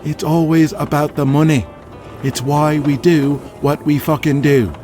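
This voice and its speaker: Bitter, higher-pitched Irish wrestler played by a man. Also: fairly even intonation, unhurried, dark tone, faint music behind, close to an everyday voice. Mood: bitter, contemptuous. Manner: blunt, profane, belligerent. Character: bitter, foul-mouthed Irish pro wrestler. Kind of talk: audio drama acting.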